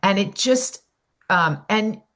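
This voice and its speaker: A woman's voice: mid-range voice, animated, slow, a bit bright. A middle-aged woman, a voiceover coach, giving rambling casual advice on a video call, full of restarts and "you know".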